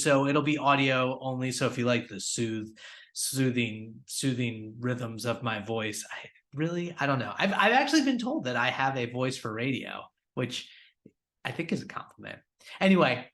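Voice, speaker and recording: A man in his thirties talks through a weekly news recap as host, casual and upbeat. His voice sits a little above the usual male pitch, and he trips over words now and then as he keeps things conversational. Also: fairly bright tone. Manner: upbeat, conversational, with fillers and restarts. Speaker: podcast host.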